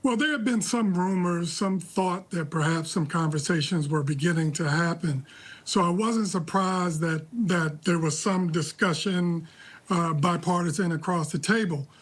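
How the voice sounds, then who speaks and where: An American man with a notably high voice, speaking as a news analyst. His delivery is measured and deliberate, with a critical edge. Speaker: political analyst, interview guest. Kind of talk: live news commentary.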